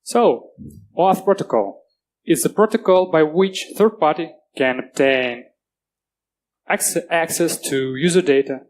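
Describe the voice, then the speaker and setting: A man with a Russian accent giving a technical security talk on a conference stage, earnest and plain-spoken, with halting restarts. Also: medium pitch. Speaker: conference speaker.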